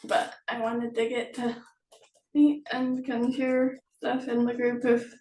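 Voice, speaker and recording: A woman chatting casually as host of an online book promotion, hesitant and halting, often trailing off. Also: fairly high pitch, completely flat delivery, a little mellow, slight background noise. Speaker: author hosting a promotional chat. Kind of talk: casual video chat. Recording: echoey room, distant from mic.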